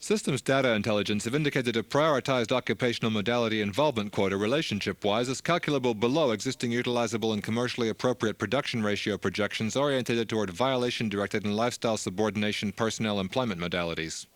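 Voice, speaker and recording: A man's voice: lowish voice, fast talker, hardly any pauses, no room sound, lightly acted. A man playing an officious bureaucratic executive reciting convoluted jargon in a parody radio ad. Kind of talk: parody radio commercial acting.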